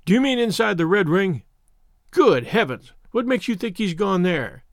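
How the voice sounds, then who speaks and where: A man's voice: sits high, very animated, moderate pace, vocal fry, dry recording, clean studio sound, full character voice. A man voicing a strict, exasperated schoolmaster in a story, brusque with his scouts.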